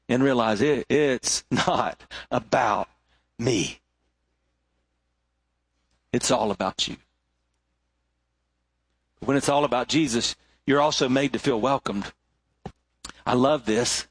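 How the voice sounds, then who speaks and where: A middle-aged male pastor preaching a sermon, swinging between rising emphasis and hushed, low moments. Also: breathy edge, no echo.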